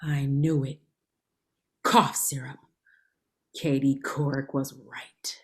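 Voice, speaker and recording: A shrewd, suspicious woman, acted by a woman with a very low, husky voice. She speaks slowly and knowingly, with expressive pitch, in a comedy table read. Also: full character voice. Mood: dry, suspicious.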